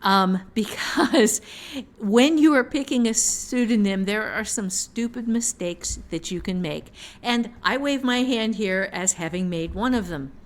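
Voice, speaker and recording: A woman's voice: medium pitch, measured pace, few pauses. A woman hosting a casual podcast conversation about writing, speaking frankly with the odd mild swear. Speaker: podcast host and fiction writer.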